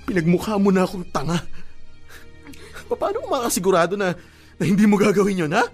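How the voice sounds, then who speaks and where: An actor playing an earnest, emotive young boyfriend in a Filipino radio drama, with a Filipino accent. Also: male voice, sits high, a little fast, slightly rough, faint music behind. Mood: hurt, angry. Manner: emotive, expressive. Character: hurt but loving young boyfriend, earnest.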